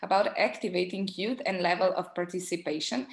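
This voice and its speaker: Woman moderating a roundtable in an echoey room. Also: fairly low pitch. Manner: polite, measured, with frequent filler pauses. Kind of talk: formal introductions at a conference panel.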